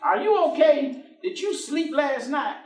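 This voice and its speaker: An actor in a staged play reading, playing a warm, stubborn, devout working-class man with a folksy, teasing manner. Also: very high-pitched, animated. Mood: gently probing. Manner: folksy, teasing, with chuckles and drawn-out phrases. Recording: roomy echoing stage, distant microphone.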